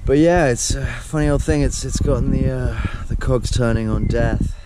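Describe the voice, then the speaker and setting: A man in his forties vlogging outdoors by a motorhome on a camera held at arm's length, talking softly and thoughtfully. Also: fairly even intonation, normal speed, very noisy. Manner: soft, reflective, breaks into delighted high chatter with animals. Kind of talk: casual travel vlog. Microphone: action camera held at arm's length.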